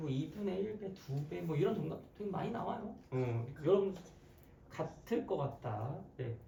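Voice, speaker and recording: Hoarse, animated math teacher in an echoey room. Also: mid-range voice, normal speed. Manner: playful, questioning, conversational. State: hoarse, frequent throat clearing. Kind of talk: interactive classroom lecture. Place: classroom with students. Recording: echoey, distant mic, background noise.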